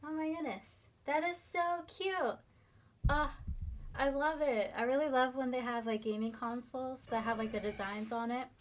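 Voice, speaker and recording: A young woman, a bit hoarse, chatting casually with her viewers on a live stream. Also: high voice, normal speed, a little hiss or hum. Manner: chatty, upbeat, reads viewer comments. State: slightly hoarse, losing her voice.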